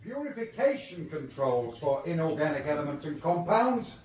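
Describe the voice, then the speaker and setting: An actor playing a precise university lecturer in a radio drama, measured and instructive. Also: male voice, a little high, very animated, darkish tone. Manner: measured, instructive, projecting to a room. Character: precise male university chemistry lecturer addressing a class. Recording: very echoey lecture hall, distant mic.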